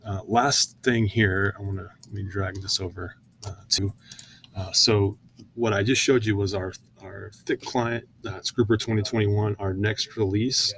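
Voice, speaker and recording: A man presenting software in an informal, conversational screen-share walkthrough, often hesitating with fillers as he explains each step. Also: fairly low pitch, fairly even intonation, a little slow, slight background noise.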